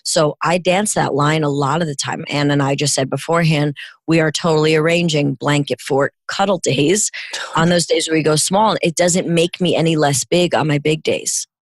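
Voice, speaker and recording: A middle-aged woman from New York with a New York accent and a raspy voice, hoarse from illness, talking emphatically and expansively as a podcast guest. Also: low voice, normal speed, no room sound. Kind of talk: casual interview chat.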